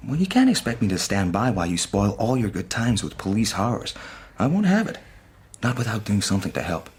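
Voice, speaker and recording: Husky, lively young gentleman clerk. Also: lowish voice, brisk, heavily acted. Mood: earnest, indignant. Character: earnest young gentleman shop clerk, protective brother. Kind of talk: period radio mystery drama acting.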